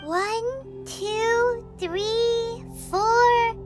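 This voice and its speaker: A woman's voice: very high voice, steady tone, drawn-out words, full character voice. A woman voicing a small, childlike fairy companion in a video game, curious and earnest. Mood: puzzled, curious. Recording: game music behind the voice.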